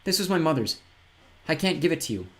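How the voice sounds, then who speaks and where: A man's voice: on the high side, very animated, fairly quick, a bit bright, pitched above the performer's own voice. A man voicing an earnest, polite young woman who speaks with concern and stammers a little.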